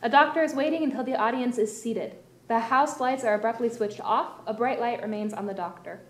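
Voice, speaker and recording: A woman's fairly high voice rings in an echoey room. She reads out stage directions as scripted narration for a play. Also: fairly quick.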